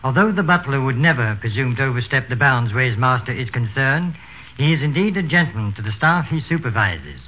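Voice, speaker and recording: A man's voice: mid-range voice, lively intonation, a little fast, slight background noise. A man from England with an English accent, giving a formal, courteous scripted radio talk as a butler. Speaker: butler, guest speaker. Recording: tinny phone-like 1930s radio sound.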